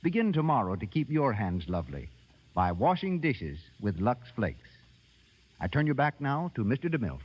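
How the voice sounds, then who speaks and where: Male radio announcer, 1930s. Formal scripted delivery with a lively melodic swing, in a muffled, reverberant broadcast. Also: mid-range voice, normal speed, slightly rough.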